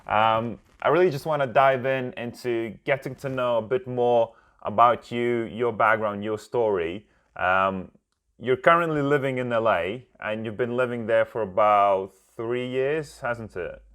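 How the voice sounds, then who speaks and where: A man's voice: medium pitch, unhurried, fairly bright tone, clean tone. A man hosting a podcast, asking interview questions eagerly and often repeating words as he starts. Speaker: podcast host.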